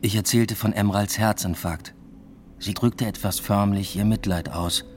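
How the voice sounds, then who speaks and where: A man playing an introspective, uneasy academic who narrates his own story in a measured, subdued radio drama style. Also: fairly low pitch, fairly even intonation, a little mellow, a little hiss or hum, lightly acted. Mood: brooding, reflective. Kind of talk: literary first-person radio drama narration.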